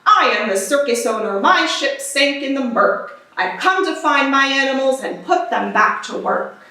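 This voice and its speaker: A woman's voice: high-pitched, lively intonation, normal speed, pushed and bright, full character voice. A woman voicing a pompous, panicky, self-serving circus owner man in a children's storybook, with a bossy, demanding manner. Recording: very echoey, distant microphone.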